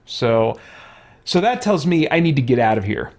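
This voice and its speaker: A man's voice: medium pitch, sing-song, moderate pace, a little mellow. A man giving casual gameplay commentary as a streamer, thinking aloud in a rambling, conversational way and chatting with viewers. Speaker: streamer, let's play host. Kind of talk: casual gameplay commentary, reading and answering chat.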